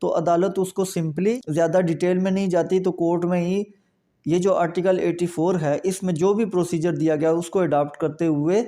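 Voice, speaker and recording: High-pitched Pakistani man, mellow explainer. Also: steady tone, normal speed. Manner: methodical, explains step by step with repetition. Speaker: legal explainer, lawyer. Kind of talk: explanatory legal lecture.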